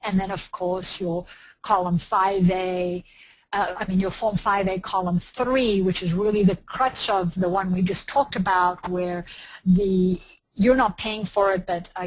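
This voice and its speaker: A woman's voice: on the low side, low-quality recording. A woman, presenting an online training webinar in a careful, explanatory way with frequent fillers and restarts. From South Africa.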